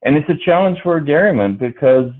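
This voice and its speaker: A middle-aged dairy farmer, born in Brazil, talking on a livestream panel in a halting way with many fillers and restarts. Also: male voice, a little high, lively intonation. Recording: tinny phone-like video call audio.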